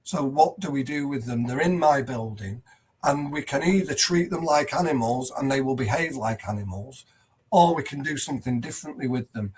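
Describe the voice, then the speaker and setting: A man's voice: medium pitch, normal speed, slight background noise, poor audio. A middle-aged headteacher, a man, speaking earnestly and emphatically as a guest in a conversational interview, often restarting his sentences. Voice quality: loud voice.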